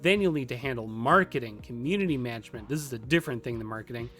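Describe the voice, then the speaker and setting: A man's voice: mid-range voice, animated, fast, fairly bright tone. A man hosting a video tutorial, reading scripted instruction in a methodical, explanatory way. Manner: methodical explaining, lists items clearly. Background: background music.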